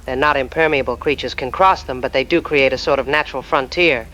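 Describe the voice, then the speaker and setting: A serious male scientist, played by an actor gives a scripted briefing in an audio drama. He has a mid-pitched, bright and forceful voice, with a clinical, precise way of explaining. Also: a little fast, slight background noise, lightly acted, poor audio. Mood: clinical, warning. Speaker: scientist, expert guest.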